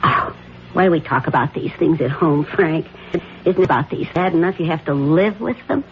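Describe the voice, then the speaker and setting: An actress playing an attentive, gently worried wife chatting conversationally in a 1970s radio drama. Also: female voice, low voice, animated, moderate pace. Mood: matter-of-fact. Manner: conversational, inquisitive. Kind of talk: 1970s radio drama acting, domestic dialogue. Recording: dull, faintly noisy 1970s radio recording.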